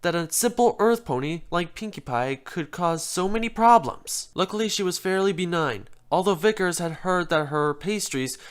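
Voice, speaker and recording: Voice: medium pitch, lively intonation, normal speed, hardly any pauses, smooth. A narrator reading a scripted comic fan fiction story aloud.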